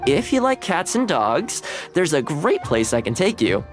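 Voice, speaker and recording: An actor playing a friendly, easygoing young male retainer in a video game, talking in a relaxed, chatty way. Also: a little high, measured pace, faint music behind. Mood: cheerful. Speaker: game character.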